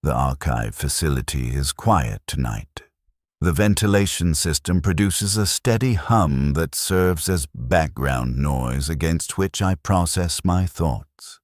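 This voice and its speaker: A man playing a weary, bleakly dutiful bureaucrat of a far-future empire in a formal, measured, fatalistic scripted monologue. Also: extremely low pitch, animated, dry recording, studio quality, exaggerated voice. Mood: hollow resignation. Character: weary male Imperial logistics bureaucrat, resigned, bleakly dutiful. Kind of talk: scripted first-person dramatic narration.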